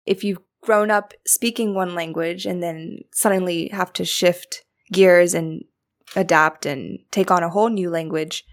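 A woman's voice: lowish voice, fairly even intonation, measured pace. A young woman hosting a podcast, supportive and thoughtful as she asks her guest questions. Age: young adult. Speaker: podcast host. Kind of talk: casual interview chat.

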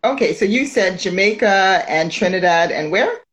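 A woman hosts a casual chat in a chatty, teasing way, laughing often. Her pitch sits in the middle. She is on a phone. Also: expressive, normal speed, fairly bright tone. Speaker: host.

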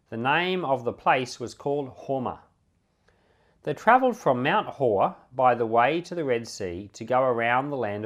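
Expressive man reading scripture aloud. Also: mid-range voice. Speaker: Bible podcast host and reader.

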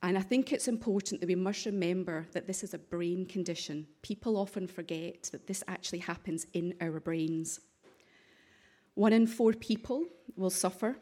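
A woman with a Scottish accent speaks to a parliamentary session in a formal, measured way, now and then pausing on an um or restarting a phrase. Her voice sits a little low. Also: mellow. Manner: measured, with occasional ums and restarts. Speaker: conference speaker.

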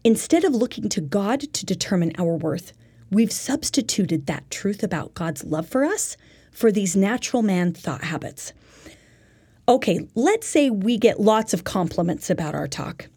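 A woman's voice: medium pitch, mellow, breathy edge. A woman giving an instructional talk in an earnest, conversational, explanatory manner with everyday examples. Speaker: lesson teacher.

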